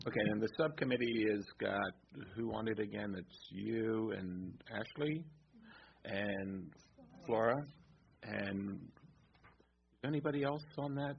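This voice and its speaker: Gravelly low-voiced man chairing a meeting. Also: a little slow, slight background noise. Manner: relaxed, hesitant, frequent ums. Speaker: committee chair. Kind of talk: running a meeting. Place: meeting room. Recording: dull lo-fi recording.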